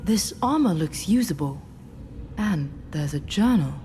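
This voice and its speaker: A thoughtful fantasy game heroine, musing in a lowish voice. She speaks slowly and measuredly, with clear ambient noise around her. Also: expressive, warm tone. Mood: musing, curious. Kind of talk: video game voice acting.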